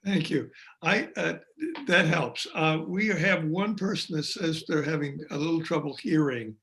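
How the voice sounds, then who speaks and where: A man, a pastor hosting an online parish interview, speaking haltingly with many uh's and a dry joke. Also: on the high side, a bit bright.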